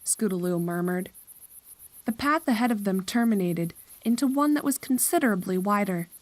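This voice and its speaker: A woman's voice: mid-range voice, animated, normal speed, no room sound. A woman narrator reading a scripted horror story in a storytelling style.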